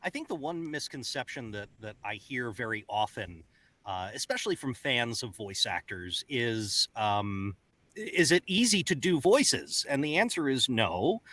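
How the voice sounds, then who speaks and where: A man's voice: mid-range voice, very animated, moderate pace, fairly bright tone. A man explaining the craft as a voice actor and coach on a panel, emphatic, into a close studio mic. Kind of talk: panel discussion. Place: home recording booth. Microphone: close studio microphone.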